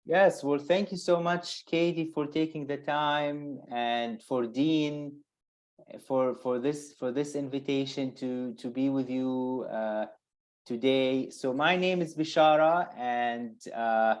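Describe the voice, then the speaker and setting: A man with a Palestinian accent, an interview guest telling personal stories informally, haltingly and with repeated words. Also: medium pitch, fairly even intonation. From Bethlehem, Palestine.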